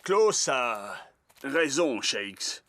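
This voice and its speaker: A man playing a wise, patient football coach in a French cartoon dub, measured and instructive. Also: medium pitch, big pitch swings, fairly bright tone, dry recording, exaggerated voice. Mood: calm, advising.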